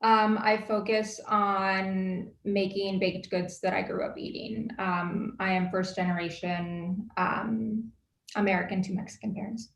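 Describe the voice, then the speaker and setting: A woman baker from San Antonio, Texas, speaking softly and hesitantly with "um" fillers on a panel. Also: mid-range voice, flat delivery, a little slow, gravelly, echoey room, poor audio. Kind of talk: panel discussion.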